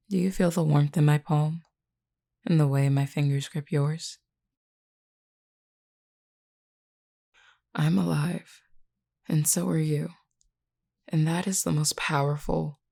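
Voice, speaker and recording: Woman playing a low, creaky, flirty werewolf woman. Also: mellow, heavily acted. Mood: tender, firm. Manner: unhurried, teasing yet earnest, deliberate. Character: flirty, frank, self-assured female werewolf of a pack. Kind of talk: intimate scripted audio roleplay addressed to the listener.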